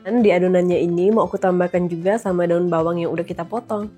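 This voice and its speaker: A woman's voice: on the low side, fairly even intonation, fast talker, warm tone, faint music behind. A woman in her thirties with a stuffy, nasal voice from a cold, chatting to viewers as she cooks at home. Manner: chatty, addresses viewers often. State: recovering from flu. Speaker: vlogger and mother. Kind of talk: casual cooking vlog.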